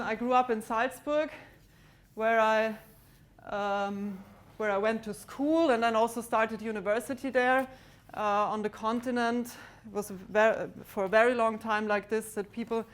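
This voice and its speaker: A woman giving a maths lecture to students in a large lecture theatre, pausing often with ums and restarts. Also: fairly high pitch, a bit bright. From Austria. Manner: hesitant, many ums and restarts, walks about with a pointer. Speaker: mathematics lecturer.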